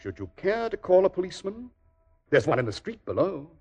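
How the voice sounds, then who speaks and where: Stern, theatrical master detective, swooping delivery. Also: male voice, high voice, big pitch swings, darkish tone, breathy edge, exaggerated voice. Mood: stern, scornful. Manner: precise, deliberate, theatrical, morally indignant. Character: brilliant, stern, self-assured male English detective. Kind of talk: 1940s radio drama acting. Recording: dull, muffled 1940s radio recording.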